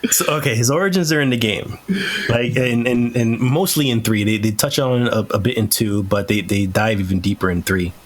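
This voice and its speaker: A man's voice: lowish voice, brisk. A man speaking as a podcast host in casual, opinionated conversation, swearing freely. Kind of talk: casual chat.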